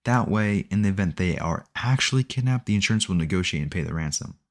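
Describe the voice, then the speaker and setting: Man acting a low-voiced, doting obsessive boyfriend. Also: lively intonation, fast talker. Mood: patiently explaining. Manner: doting, rambling, earnest self-justifying, close and conversational. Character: obsessive, possessive yandere boyfriend, sweetly cheerful kidnapper. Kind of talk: ASMR audio roleplay addressed to the listener.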